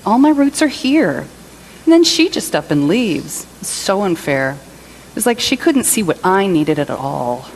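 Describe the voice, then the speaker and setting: A woman's voice: mid-range voice, sing-song, a little slow, a little hiss or hum, rough recording. A woman performing a dramatic monologue as a hurt, self-pitying jilted partner, indignant and emphatic. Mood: wounded. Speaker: reader in a church service skit.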